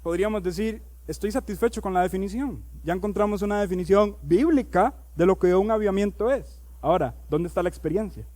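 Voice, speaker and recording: A male pastor preaching a sermon. He reads scripture aloud, slows down on key words, and builds to loud, emphatic preaching. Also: high-pitched.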